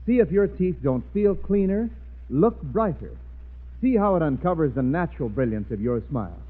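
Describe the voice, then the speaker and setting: An American male announcer delivers an emphatic, polished 1940s radio sales pitch. His voice is fairly high and lively, heard through a very tinny, narrow old recording. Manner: emphatic, polished, deliberate stresses.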